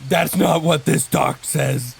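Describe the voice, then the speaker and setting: A man voicing a petulant, evasive vigilante in an improvised comic audio drama, stammering through excuses in a gravelly voice. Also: a little high, slow, exaggerated voice. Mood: grumpy, evasive. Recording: clear background noise with chirping crickets.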